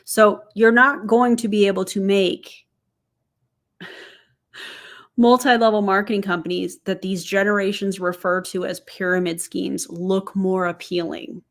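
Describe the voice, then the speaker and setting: A woman's voice: mid-range voice, little pitch movement, measured pace. A woman in her forties runs a reaction commentary. Her tone is sarcastic and incredulous, and she keeps asking her viewers questions directly. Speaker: commentary host.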